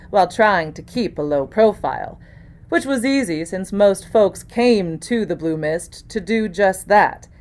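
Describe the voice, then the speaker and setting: A woman actor reading scripted first-person mystery narration, as for an audiobook. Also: lowish voice.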